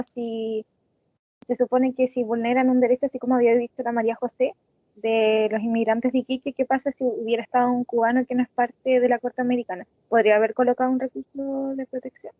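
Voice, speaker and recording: Female student, flat phone-like voice. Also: a little high, brisk, no echo. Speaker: student asking a question. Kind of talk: casual, tentative classroom question. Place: online class call. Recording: tinny, phone-like online call audio.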